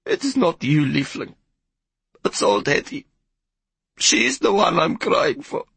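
A woman's voice: sits low, wildly swooping pitch, measured pace, vocal fry, a bit bright, slightly rough, no echo, voice pushed up, heavily acted. A man reading an audiobook, voicing a big, emotional woman on a train who coaxes, weeps and turns haughty. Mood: coaxing. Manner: coaxing, tearful, haughty.

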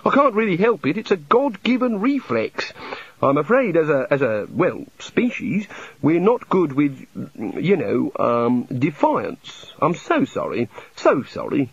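A man's voice: a little high, slightly rough, dry recording, voice pushed up, heavily acted. A man voicing a fussy, apologetic, short-tempered male god in an audiobook. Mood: flustered. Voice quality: excitable and apologetic, quick to fluster. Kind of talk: audiobook character reading. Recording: slightly dull, dry audiobook recording.

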